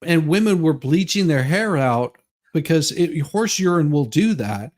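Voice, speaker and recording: A man's voice: fairly high pitch, expressive, unhurried, a little mellow. A man doing a comedic dramatic reading with rambling, repetitive, mock-outraged banter. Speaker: co-reader in a dramatic reading stream.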